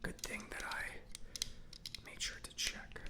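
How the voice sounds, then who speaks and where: A man playing a chatty, easygoing robot mechanic in an ASMR roleplay, speaking softly in a breathy half-whisper with a rambling, conversational manner. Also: takes it slowly, close to an everyday voice. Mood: quietly focused. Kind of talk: soft-spoken ASMR roleplay.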